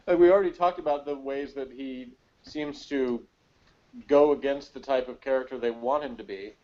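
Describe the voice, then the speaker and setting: A man's voice: medium pitch, a little slow, pauses often, mellow. Meandering man in slightly muffled sound. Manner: conversational, meandering, frequent "like". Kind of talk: casual opinionated chat. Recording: slightly dull, lo-fi voice recording.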